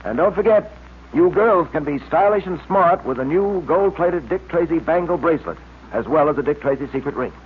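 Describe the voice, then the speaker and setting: An actor playing a calm, heroic American police detective in an earnest, steady 1940s radio serial style. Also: male voice, fairly high pitch, brisk, natural voice. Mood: earnest, enthusiastic. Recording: scratchy old-time radio recording, narrow band, hiss.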